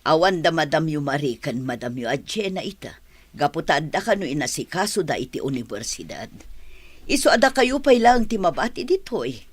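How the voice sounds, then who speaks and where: A woman's voice: deep voice, big pitch swings, normal speed, husky, full character voice. An actress playing a devoted, motherly but firm special education teacher in a radio drama, with an Ilocano accent. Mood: mildly scolding.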